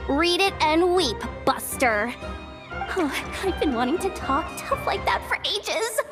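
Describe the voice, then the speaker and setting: A woman's voice: extremely high pitch, lively intonation, slow pace, exaggerated voice. A woman voicing a spirited, cheeky young girl who likes to boast and keep order, in a video game. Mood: tough, cocky. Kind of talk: video game character voice acting. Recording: music behind the voice.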